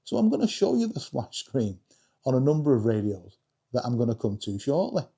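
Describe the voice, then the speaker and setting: A man's voice: medium pitch, very animated, fairly quick, creaky, mellow, husky. A man presenting a casual, chatty vlog, talking straight to viewers in a self-deprecating, jokey way. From England. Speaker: hobbyist video creator and host.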